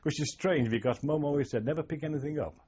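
A man's voice: on the high side, quick pace, darkish tone, husky. Older Englishman reminiscing on dull archive tape. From England. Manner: reflective, storytelling, wry. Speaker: oral history interviewee, former navy sailor. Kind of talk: reminiscing oral history interview.

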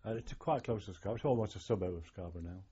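A man's voice: on the low side, very fast, warm tone, slight background noise. Older Englishman reminiscing on dull archive tape. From England. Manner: reflective, storytelling, wry. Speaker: oral history interviewee, former navy sailor. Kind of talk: reminiscing oral history interview.

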